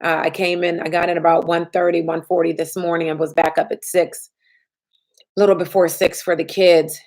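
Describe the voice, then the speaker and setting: A woman insurance agent and trainer answering viewer questions in a chatty live stream, her voice a little raspy from little sleep. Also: fairly low pitch, little pitch movement, fairly quick, a little mellow. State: slightly raspy from lack of sleep.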